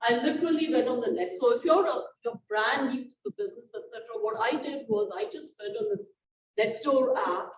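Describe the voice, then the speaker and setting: A woman's voice: on the high side, fairly quick. A woman with an Indian accent, a real estate agent telling a panel how she grew her business through events. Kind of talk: panel discussion, sharing experience. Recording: echoey room, distant mic, muffled.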